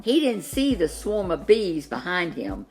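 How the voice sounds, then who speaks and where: Female children's storyteller, expressive scripted narration, slightly low register, highly varied intonation. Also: a little slow.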